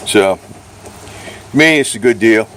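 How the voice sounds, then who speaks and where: A man's voice: lowish voice, expressive, slow, a bit bright, a little hiss or hum, low-quality recording. A man talking in an informal, rambling, mildly profane way, like a casual show-and-tell vlog about gear. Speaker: vlogger reviewing radio gear.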